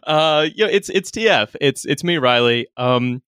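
Animated, halting male podcast host. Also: a little high, clean tone, no room sound, clean studio sound. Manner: rambling, halting, lots of fillers and laughs. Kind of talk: casual comedic chat.

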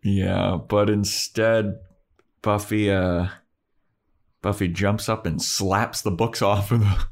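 A young man co-hosting a casual podcast, recapping a show in a relaxed, rambling way and acting out bits of dialogue. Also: lowish voice, takes it slowly. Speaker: podcast co-host. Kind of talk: casual chat.

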